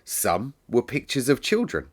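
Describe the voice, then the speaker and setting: A man reading a classic children's story aloud as narrator, in a measured, expressive storytelling manner. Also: mid-range voice.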